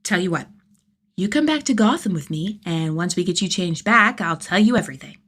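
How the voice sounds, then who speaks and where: A woman voicing a cocky, sardonic young male vigilante with a gruff fondness, in an audio story. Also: fairly low pitch, expressive, fairly quick, a bit bright. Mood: reassuring. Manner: teasing, sardonic, sweary.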